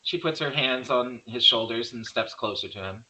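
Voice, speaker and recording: Bright-voiced man narrating a screenplay. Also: medium pitch, normal speed, poor audio. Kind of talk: reading screenplay stage directions.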